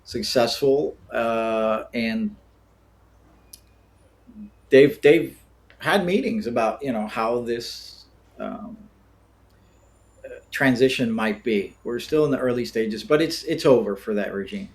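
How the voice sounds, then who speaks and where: An American man in his fifties speaks as an interview guest. His delivery is conversational and halting, wandering off into side stories, with a mid-range voice. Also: mid-range voice. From born in Cuba, grew up in the United States. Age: 50s. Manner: rambling, digressive, halting. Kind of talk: casual conversational storytelling.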